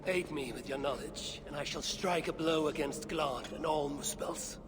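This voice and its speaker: An actor playing a proud Norse god, imperious and blunt, with formal archaic phrasing in a video game drama. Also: male voice, a little high, normal speed, music in the background, natural voice. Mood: resolute. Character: proud, commanding male Norse god, lord of Asgard.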